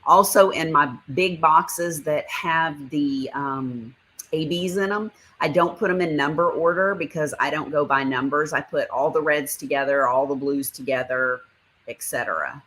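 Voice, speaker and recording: A woman's voice: sits low, moderate pace, fairly bright tone. A woman hosting a casual livestream, rambling about her craft supplies and reading viewer comments aloud. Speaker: livestream host.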